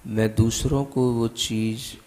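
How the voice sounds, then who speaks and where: Slow, echoing Indian male meditation guide. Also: mid-range voice. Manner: calm, deliberate instruction with long pauses. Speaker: spiritual teacher, meditation guide. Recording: long hall-like reverb.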